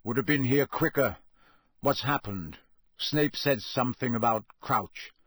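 A man voicing a gruff, irritable old wizard with a wheezy growl in an audiobook. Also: mid-range voice, unhurried, a bit bright, dry recording, clean studio sound. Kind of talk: dramatised audiobook reading.

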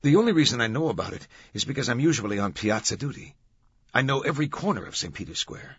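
A man's voice: medium pitch, very animated, fast, husky, no echo, muffled recording, voice pushed up. A man voicing an earnest young male guard, eager and deferential as he explains in an audiobook thriller.

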